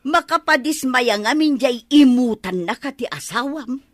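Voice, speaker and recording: A woman's voice: high-pitched, expressive, a little slow, no echo, studio quality. A woman playing an aggrieved, feisty village wife in an Ilocano radio comedy drama, speaking emphatically and expressively with an Ilocano accent. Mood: aggrieved, scolding.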